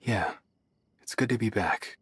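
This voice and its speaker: A man voicing a guilt-ridden, guarded young man, husky and hesitant, in an English anime game. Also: on the low side, a little slow, dry recording, clean studio sound. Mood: subdued. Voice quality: husky, breathy. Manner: hesitant, subdued, serious.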